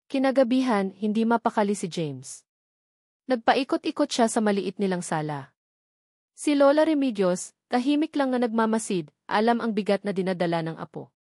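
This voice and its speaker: Female audiobook narrator for serialized drama. Measured, solemn storytelling, mid-range voice, dry recording. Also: mid-range voice, lively intonation, a little mellow, no echo.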